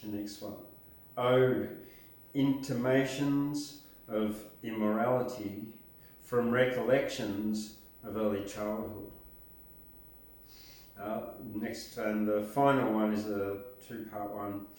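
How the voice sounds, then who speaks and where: A man's voice: lowish voice, fairly even intonation, slow, a little mellow. An older man hosting casually, introducing a record and reading out a track list, recorded indoors by a camera across the room. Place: indoors, small home-like room with record player. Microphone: camera a few metres away. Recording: very echoey room, distant microphone.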